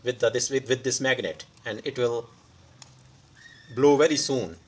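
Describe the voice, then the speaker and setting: A man who is a non-native English speaker gives an unscripted hands-on repair demonstration, pausing mid-sentence in short phrases. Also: medium pitch, darkish tone, husky. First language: not English. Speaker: electronics repair tutorial host.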